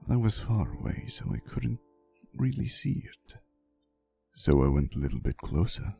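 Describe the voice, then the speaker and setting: A man playing a centuries-old romantic vampire boyfriend in an intimate ASMR roleplay monologue, speaking softly and hesitantly, teasing and wistful with faint menace. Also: fairly low pitch, gravelly, full character voice. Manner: soft, slow, hesitant storytelling with teasing asides. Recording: soft music behind the voice. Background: quiet instrumental music.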